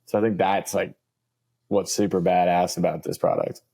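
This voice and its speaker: A man's voice: low-pitched, flat delivery, normal speed, vocal fry, mellow. A young man co-hosting a podcast, chatting in a loose, upbeat way and leaning on filler words. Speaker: podcast co-host. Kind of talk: casual chat.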